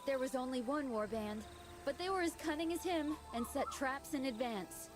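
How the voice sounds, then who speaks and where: A woman's voice: sits high, expressive, normal speed, music in the background. A young hurt beastfolk girl. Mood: weary.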